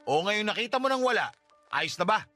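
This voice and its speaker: A fed-up husband in a radio drama, his voice high and sharp. He is curt and cold, pushing to be done with his marriage, over faint music. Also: expressive, fairly quick. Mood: irritated. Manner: curt, exasperated. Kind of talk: radio drama dialogue in Tagalog.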